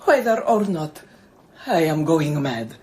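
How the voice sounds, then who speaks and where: Low, husky haunted countess. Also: female voice, big pitch swings, darkish tone, full character voice. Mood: urgent, insistent. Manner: intense, theatrical, halting. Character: haunted, imperious European countess, guilt-ridden and intense. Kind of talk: radio drama acting.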